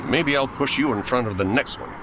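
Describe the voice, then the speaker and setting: A woman's voice: rumbling low, brisk. A woman playing an exasperated, anxious 1940s radio announcer man in a comedy audio drama, grumbling and dramatic. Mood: exasperated, bewildered. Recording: clear background noise.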